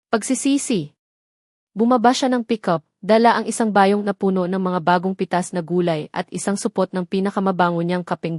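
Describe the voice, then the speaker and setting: A woman reads a scripted romance tale in the role of storyteller. Her voice sits at an average pitch for a woman. The recording is dry, with hardly any room around the words. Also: darkish tone, no echo. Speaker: storyteller, narrator.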